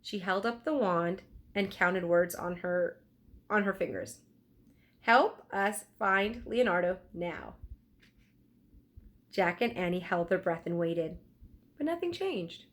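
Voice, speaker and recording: A woman narrating a children's storybook aloud in an expressive, deliberate storytelling style. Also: lowish voice. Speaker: teacher reading aloud.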